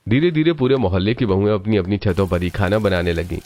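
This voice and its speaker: Low-pitched male story narrator. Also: fast, darkish tone, no echo, clean studio sound.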